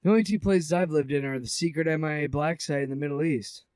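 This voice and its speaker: An actor playing a guarded, dry-humored young hero in an audio drama, casual and deadpan. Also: male voice, fairly high pitch, fast talker, clean tone, dry recording, lightly acted.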